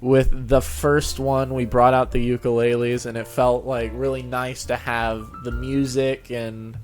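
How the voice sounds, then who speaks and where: A man chatting casually as a channel host, telling stories in an animated way and often laughing as he talks. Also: mid-range voice, measured pace, soft background music. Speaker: host of a fan fiction narration channel. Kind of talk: casual chat.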